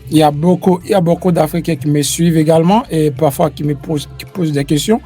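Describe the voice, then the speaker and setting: A Haitian man with a fairly high, mellow voice hosts an online interview. He speaks haltingly, restarting sentences and filling gaps with "euh". Soft music plays underneath. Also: fairly even intonation, slightly rough. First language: Haitian Creole. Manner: hesitant, many restarts and fillers. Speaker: YouTube host interviewing a lawyer. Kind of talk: casual interview.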